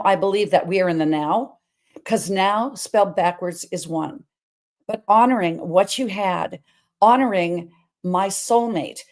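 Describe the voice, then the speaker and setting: A middle-aged woman hosting her own show, talking in a big, emphatic voice and drifting from one topic to the next. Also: mid-range voice. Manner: energetic, emphatic, wandering between topics. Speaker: host. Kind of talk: personal talk-show monologue.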